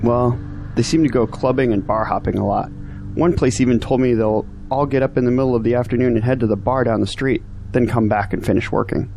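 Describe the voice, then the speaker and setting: A man's voice: medium pitch, fairly quick, gravelly, warm tone, a little hiss or hum, natural voice. A man playing an earnest, discouraged young graduate in an audio drama, complaining about his troubles. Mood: earnest, puzzled. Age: young adult.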